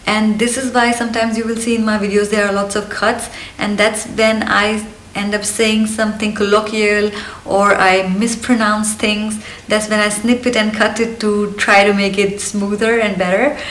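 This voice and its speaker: A woman in her thirties from India with an Indian accent and Hindi as her first language, talking in a chirpy, energetic and earnest way for a personal vlog. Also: medium pitch, normal speed. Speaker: vlogger. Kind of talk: personal get-to-know-me vlog. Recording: roomy, slightly distant mic.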